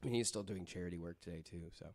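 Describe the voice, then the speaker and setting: A man's voice: low voice, very fast, a little mellow, dry recording. A man chatting casually as a podcast panelist, often tossing in joking remarks. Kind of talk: casual chat.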